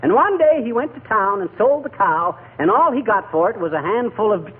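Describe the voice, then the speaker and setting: A man's voice: high voice, big pitch swings, brisk. An actor playing a blustery, flustered but good-hearted everyman husband in 1940s radio comedy, folksy and given to stammering. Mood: storytelling, flustered. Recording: muffled, noisy 1940s radio recording.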